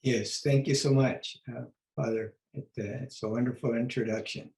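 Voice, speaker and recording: An older man from Mexico, gentle and modest, telling his life story on a video call. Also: medium pitch, fairly even intonation, normal speed, echoey room. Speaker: author and guest. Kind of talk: memoir storytelling.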